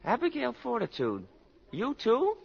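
A sardonic college registrar in a vintage radio play. The voice is high for a man and swings theatrically, droll and patronizing. The old broadcast is dull. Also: wildly swooping pitch, measured pace, vocal fry, slight background noise, exaggerated voice. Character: droll, cynical male college registration clerk. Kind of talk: 1940s radio acting.

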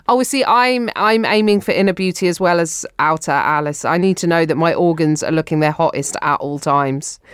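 Low-voiced, rambling bawdy comedian. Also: female voice, normal speed, clean tone, dry recording, clean studio sound. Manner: rambling, stammering restarts, bawdy. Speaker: guest co-host. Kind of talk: improvised comedy banter. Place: studio in New York.

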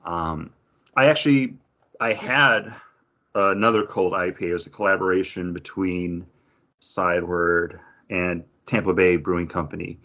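A man's voice: deep voice, moderate pace, sharp tone. A man co-hosting a podcast, chatting casually about things in a rambling, conversational way. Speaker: podcast co-host. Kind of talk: casual chat, craft beer review. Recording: dull, lo-fi audio.

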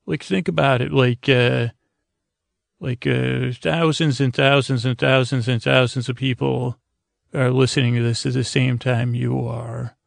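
A man hosting a bedtime podcast in a rambling, halting, goofy monologue, repeating and stammering as he drifts along, with a creaky, gravelly voice. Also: mid-range voice, level tone, dry recording, studio quality. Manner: goofy, oddball. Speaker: podcast host.

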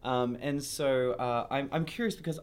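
A man's voice: medium pitch, fairly even intonation, normal speed, clear, resonant tone. A man co-hosting a podcast in casual chat, hesitant and thinking aloud. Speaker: podcast co-host.

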